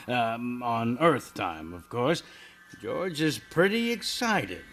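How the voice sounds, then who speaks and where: An actor playing a patient heavenly narrator who recounts the story in a radio play. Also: male voice, medium pitch, sing-song, slow pace, background noise. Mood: storytelling. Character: calm heavenly male narrator, an angel's superior.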